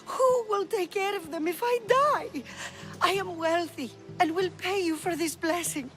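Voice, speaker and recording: A woman's voice: very high voice, unhurried, a bit bright, soft background music. An actress playing a desperate, tearful widowed mother pleading in an ancient Greek video game.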